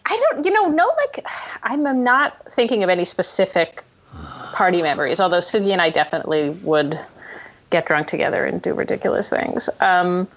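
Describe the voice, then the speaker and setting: A woman's voice: fairly low pitch, sing-song, brisk. A woman telling stories casually as a screenwriter guest in an interview, with a wry, self-deprecating humor. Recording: dull, lo-fi podcast audio.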